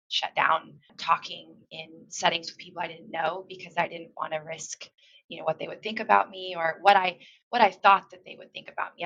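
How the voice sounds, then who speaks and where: A woman's voice: lowish voice, vocal fry, pushed and bright. A woman who stutters, repeating words and restarting phrases, talking as a teacher guest in a casual podcast conversation. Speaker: elementary school teacher, guest. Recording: dull lo-fi audio.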